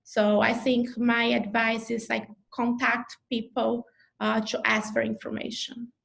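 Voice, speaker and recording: A woman with a Brazilian Portuguese accent speaks in a slightly high voice with a rough, fry-laced texture. She gives advice over a video call, pausing often to fill gaps and restart her sentences. Her English is fluent but clearly not her first language. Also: flat delivery, a bit bright. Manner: hesitant, many fillers and restarts. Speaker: neurologist faculty member. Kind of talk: advice talk in an online info session.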